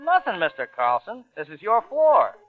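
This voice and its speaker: An actor playing a gossipy, cheeky young elevator operator with slangy chatty talk, in old-time radio drama style. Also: male voice, high voice, huge pitch swings, normal speed, heavily acted. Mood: nosy, cheeky. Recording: tinny old radio recording with music.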